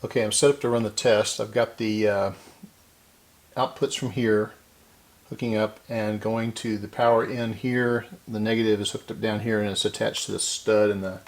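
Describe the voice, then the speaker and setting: A man's voice: fairly low pitch, flat delivery, normal speed. A middle-aged man hosting a casual hands-on repair demonstration, talking to himself unscripted as he works. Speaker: host of a vintage electronics repair channel. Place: indoor electronics workbench.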